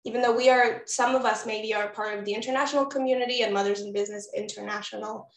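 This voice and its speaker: A woman's voice: mid-range voice, fairly even intonation, quick pace, roomy sound. A woman from Israel, a postpartum doula, giving a webinar presentation in an encouraging way that speaks straight to the audience over a video call. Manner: warm, encouraging, addresses audience directly.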